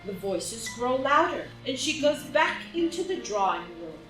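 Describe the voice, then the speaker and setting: A woman's voice: high voice, big pitch swings, measured pace, a bit bright. A woman narrator reading the stage directions of a play as scripted audio drama narration. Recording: echoey, distant live reading with music.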